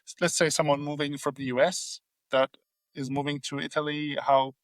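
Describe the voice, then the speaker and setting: A man's voice: a little high, level tone, moderate pace, dry recording. A man hosting a podcast, asking questions casually with many fillers and restarts. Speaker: podcast host. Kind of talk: casual interview talk.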